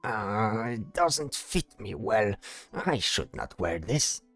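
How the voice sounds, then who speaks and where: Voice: mid-range voice, sing-song, takes it slowly, fairly bright tone, faint music behind, exaggerated voice. An actor playing a proud, whiny male bard in a fantasy audio drama, complaining with theatrical indignation. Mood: whiny, grumbling.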